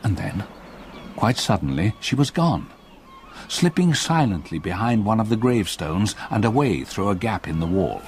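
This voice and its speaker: An old English gentleman haunted by his past, narrating a radio ghost story. His low voice moves widely in pitch as he tells it gravely and with measure. Faint ambient noise sits behind him. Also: very animated, moderate pace. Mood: haunted, dread. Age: older. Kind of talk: dramatic radio play narration.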